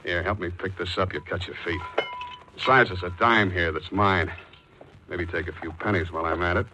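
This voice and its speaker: A low-voiced, wisecracking hard-boiled detective. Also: male voice, moderate pace, pushed and bright, a little hiss or hum, poor audio. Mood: wry. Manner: wry, tough, slangy. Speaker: lead actor in radio drama. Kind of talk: 1940s radio acting, first-person narration. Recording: vintage 1940s radio recording.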